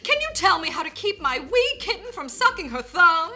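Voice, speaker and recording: Fretful storybook mama cat, played by a woman: extremely high, wildly swooping, meowing and drawing out exclamations. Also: very animated, normal speed, pushed and bright, faint music behind, exaggerated voice. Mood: pleading. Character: fretful, dramatic mother cat, easily shocked.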